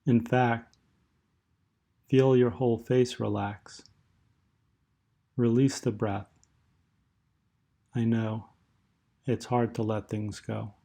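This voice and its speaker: A man with a medium-pitched voice leads a guided meditation. His delivery is very slow and measured, with long pauses between short phrases. The tone is dark, relaxed and soothing throughout. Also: creaky.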